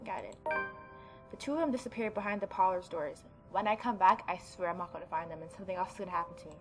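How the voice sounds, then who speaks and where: A woman's voice: fairly low pitch, quick pace. A woman reads a visual novel aloud as a let's play host. She slips between narration and informal, reactive commentary. Light music plays underneath. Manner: chatty, reactive, informal asides.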